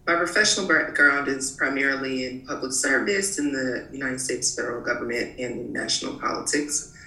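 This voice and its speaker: Gravelly-voiced young woman, formal. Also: rumbling low, steady tone, brisk, sharp tone. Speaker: podcast co-host, law student. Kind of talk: podcast discussion. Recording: distant mic in an echoey room.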